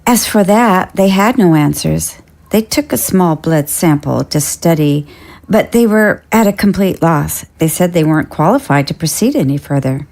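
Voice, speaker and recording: A woman in an audio drama playing a practical, respectful nurse with a measured, deferential manner. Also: fairly low pitch, animated, gravelly, darkish tone. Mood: concerned. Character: practical, respectful female nurse to a president's family. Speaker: voice actor.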